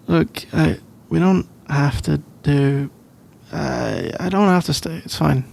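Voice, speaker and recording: Casting: male actor as drowsy young British student boyfriend, easygoing, halting, gravelly, unhurried delivery for intimate ASMR roleplay. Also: a little high, full character voice. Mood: tired, reassuring. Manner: hesitant, halting, rambling with soft laughs.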